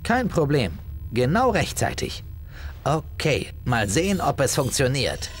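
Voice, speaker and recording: A man's voice: mid-range voice, huge pitch swings, measured pace, a little hiss or hum. An actor playing a young, easygoing male alien hero in a game, with casual, friendly speech. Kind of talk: animated game cutscene acting.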